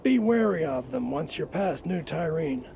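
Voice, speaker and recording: An eager fantasy-game guard with a high, gravelly-edged voice. He is deferential and chatty, earnest in the way of a wistful underling. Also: expressive, normal speed, warm tone, a little hiss or hum. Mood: earnest, cautioning. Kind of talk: fantasy video game voice acting.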